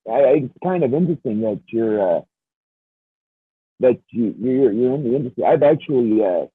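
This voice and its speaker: A man in his thirties co-hosting a podcast, speaking haltingly with many restarts. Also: mid-range voice, animated, breathy edge, no echo. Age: 30s. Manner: hesitant, full of restarts and fillers. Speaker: podcast co-host. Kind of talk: casual chat. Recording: tinny phone-like line.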